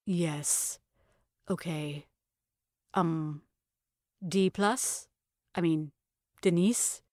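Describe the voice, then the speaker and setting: Very slow, hesitant young woman. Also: lowish voice, darkish tone, no echo, studio quality. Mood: shaken, hesitant. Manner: halting, hesitant. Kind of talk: audio fiction dialogue.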